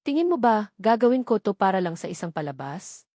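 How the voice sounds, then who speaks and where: A woman's voice: medium pitch, very animated, brisk, warm tone, no room sound. A resolute, bitter young single mother played by a woman storyteller in a romantic drama. She speaks firmly and pointedly, challenging her former lover. The recording is dry. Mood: bitter, indignant. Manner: firm, emphatic, challenging.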